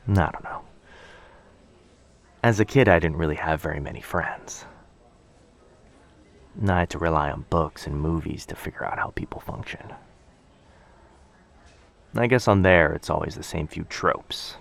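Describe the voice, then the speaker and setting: A man acts out a shy, bookish young man in a boyfriend ASMR audio drama. His voice is low, with a creaky fry at its edges. He speaks softly and breathily, hesitant and gently nervous. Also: unhurried, pauses often, heavily acted. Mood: shy, reflective. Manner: hesitant, soft-spoken, breathy, self-effacing. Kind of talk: intimate boyfriend ASMR roleplay.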